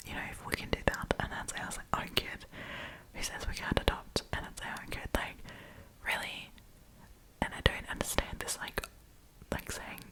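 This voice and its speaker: A woman whose first language is German, speaking with an Australian accent, chatting in a whispered ASMR storytime with many "like" fillers and pauses to search for words. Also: low-quality recording.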